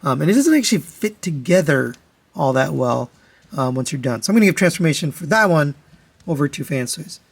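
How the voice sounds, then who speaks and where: Higher-pitched man reviewing products casually. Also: big pitch swings, fairly quick, gravelly, husky. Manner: matter-of-fact, conversational, step-by-step explaining. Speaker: toy reviewer, host.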